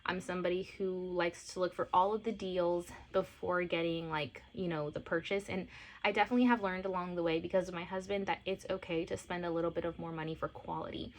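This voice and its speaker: A woman's voice: on the low side, fairly even intonation, fast, rough recording. A woman in her late twenties talking to camera in a casual vlog, gushing and a little teary, with many fillers. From born in Costa Rica, grew up in Miami. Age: 20s. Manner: emotional, gushing, heavy on fillers. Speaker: lifestyle and mom vlogger.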